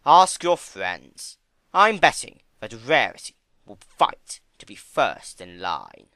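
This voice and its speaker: A man's voice: medium pitch, big pitch swings, slow, pushed and bright, no room sound, voice pushed up, full character voice. A man voicing a scheming male trickster creature in a theatrical dramatic storybook reading. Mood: calculating. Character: Discord, sly male trickster creature with talons. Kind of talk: dramatic fan fiction reading.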